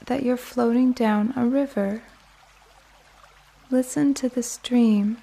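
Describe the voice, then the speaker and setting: Female meditation guide: soft and soothing, slightly high yet mellow, unhurried and calming delivery for sleep. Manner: gentle, calming, unhurried with long pauses. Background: soft music and flowing water nature sounds.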